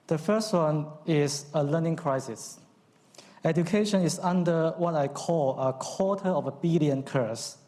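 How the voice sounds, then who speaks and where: A man's voice: fairly high pitch, darkish tone. Man with a Cantonese accent, hall reverb. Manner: measured, with frequent um and uh. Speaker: conference speaker. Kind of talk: formal speech.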